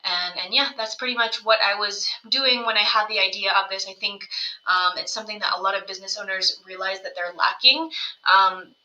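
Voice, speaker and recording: A woman's voice: mid-range voice, steady tone, fairly quick, bright, forceful tone, highs cut off. A woman, a company co-founder, giving a casual business presentation over slides, conversational and often checking in with "right?". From United States. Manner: conversational, frequent "right?" tag questions.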